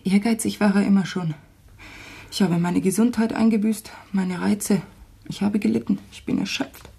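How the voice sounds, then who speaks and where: Husky, desperate abandoned young mistress. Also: female voice, mid-range voice, fairly even intonation, mellow. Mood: bitter anguish. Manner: anguished, halting, dramatic delivery in short phrases. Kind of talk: German radio play acting.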